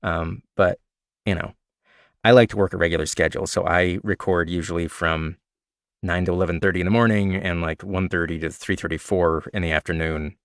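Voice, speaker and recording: A man with a slightly raspy voice, an audiobook narrator casually answering questions and sharing recording tips. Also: sits low, a little fast, no echo, clean studio sound. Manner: relaxed, chatty, self-described nerd with frequent fillers. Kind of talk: casual Q&A livestream talk.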